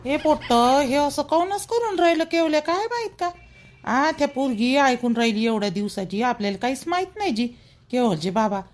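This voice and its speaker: A man voices a stern, fretting older village mother in a cartoon, complaining through rhetorical questions in a Vidarbha Marathi accent. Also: extremely high pitch.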